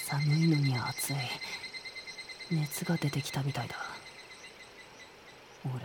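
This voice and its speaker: A woman's voice: sits low, background noise. A woman playing a stubborn, proud young male cat samurai in training, speaking brusque boyish Japanese with catlike sounds in a comic radio drama. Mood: weak, feverish. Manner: brusque, excitable rough boyish speech.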